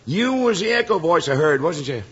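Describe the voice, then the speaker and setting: An American actor playing a folksy, countrified, easily spooked rustic in a western radio drama. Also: male voice, a little high, big pitch swings, normal speed. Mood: spooked, ribbing. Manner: countrified, rambling. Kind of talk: 1950s western radio acting. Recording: muffled old radio, long reverberation.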